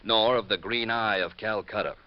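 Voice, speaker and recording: Male actor as blunt, harried American office man, deeper voice, colloquial, old radio drama, dull recording. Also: normal speed, lightly acted. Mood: urgent, blunt. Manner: colloquial, urgent. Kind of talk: 1950s radio drama acting. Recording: muffled vintage radio recording.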